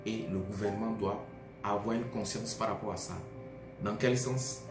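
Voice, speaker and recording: A man's voice: fairly low pitch, steady tone, moderate pace. A young man, a student, speaking in a rhetorical way and posing questions to himself. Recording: echoey room, music.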